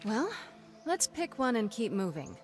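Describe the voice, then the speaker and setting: A woman's voice: on the high side, big pitch swings, a little slow, darkish tone, faint music behind. Brash, wry female adventurer. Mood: scornful, wry. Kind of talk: fantasy game acting.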